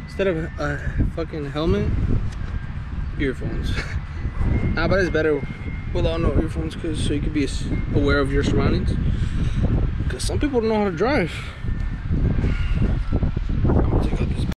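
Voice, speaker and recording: Profane young man vlogging over loud wind. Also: fairly high pitch, animated, normal speed, long pauses. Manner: loose, profane, slangy, thinking out loud. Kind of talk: casual chat to a handheld camera while riding. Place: outdoors on a sunny beachside street and park. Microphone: action camera at arm's length. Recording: loud wind and street noise outdoors.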